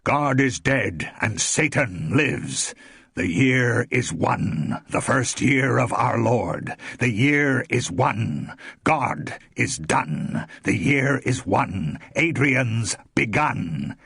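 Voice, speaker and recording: A man voicing a smooth, persuasive coven leader who proclaims and coaxes in a low, husky voice. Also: big pitch swings, normal speed, no echo, studio quality, heavily acted. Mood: proclaiming. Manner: commanding, coaxing.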